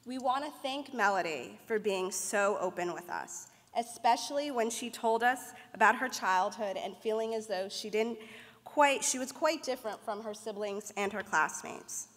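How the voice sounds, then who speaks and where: A woman's voice: mid-range voice, normal speed, a bit bright. A young woman from the United States giving an earnest, prepared speech as a mentor presenting an award. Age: young adult. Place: banquet hall at an awards dinner. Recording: echoey banquet hall.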